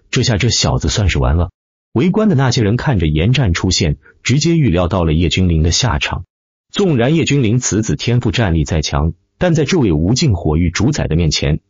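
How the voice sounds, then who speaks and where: Husky, deep-voiced male audiobook narrator. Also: a little mellow, no echo, highs cut off. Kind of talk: dramatic scripted narration of a fantasy web novel.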